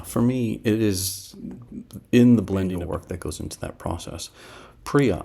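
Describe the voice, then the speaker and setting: A middle-aged man giving a casual product demo, halting and thinking aloud as he talks at his desk. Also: deep voice, dark tone. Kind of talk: casual screen-share demo talk. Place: small indoor room at home.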